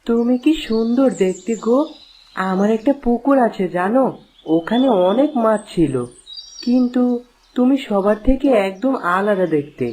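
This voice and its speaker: A woman's voice: a little high, animated, moderate pace, dark tone, close to an everyday voice. A woman voicing a curious, friendly young village boy in a children's cartoon, speaking earnestly. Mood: wondering. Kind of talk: children's cartoon story dialogue.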